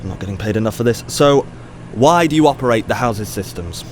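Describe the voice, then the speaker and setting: A weary ethics professor played by a man talks in a natural, mid-pitched voice with faint noise around him. He is dry and sarcastic, mildly exasperated, in audio drama style. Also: animated, brisk, natural voice. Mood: probing, weary.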